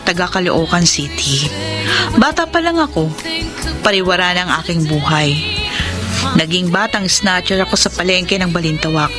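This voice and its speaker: Actress playing an earnest young Filipina confessing, over music. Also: female voice, on the low side, animated, normal speed, natural voice. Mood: confessional, sad. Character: young adult woman, bar hostess, earnest lover telling her story. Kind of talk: Filipino radio drama acting and letter narration. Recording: music bed under the voice.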